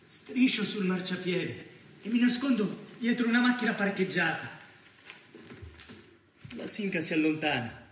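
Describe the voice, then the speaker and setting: An actor playing a frightened, driven journalist in love, speaking anxiously and urgently in a stage play. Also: male voice, on the high side, a bit bright. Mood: panicked, urgent. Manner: anxious, urgent, confiding narration. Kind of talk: stage play monologue and dialogue. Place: live theatre stage. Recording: roomy theatre echo, faint noise.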